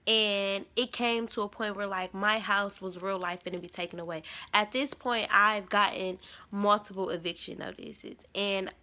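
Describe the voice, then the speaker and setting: Bright-voiced young woman telling her story. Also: mid-range voice, steady tone, normal speed. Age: 20s. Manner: emphatic, repetitive, slangy storytelling. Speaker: spiritual vlogger. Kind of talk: casual spiritual testimony.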